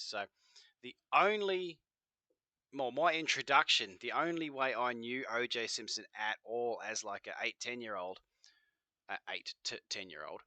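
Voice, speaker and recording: A man's voice: medium pitch, bright, forceful tone, dry recording. A man, rambling and swearing as he hosts a casual reaction video. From New Zealand. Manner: rambling, exclamatory, swears freely.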